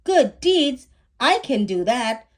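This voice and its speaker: A woman's voice: sits high, sing-song, slow pace, voice pushed up, heavily acted. Woman playing an eager, emphatic young boy. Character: eager young boy keen on good deeds.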